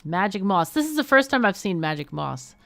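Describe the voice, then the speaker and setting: A woman giving casual live game commentary, chatty and expressive, often muttering to herself as she thinks aloud. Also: mid-range voice, fairly quick, vocal fry, no room sound. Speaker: game streamer, host.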